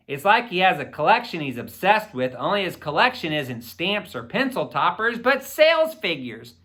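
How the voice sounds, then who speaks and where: A man narrates a children's audiobook with boyish expression. His voice is bright and forward, moving freely up and down as he tells the story in the first person. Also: high voice. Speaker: audiobook reader.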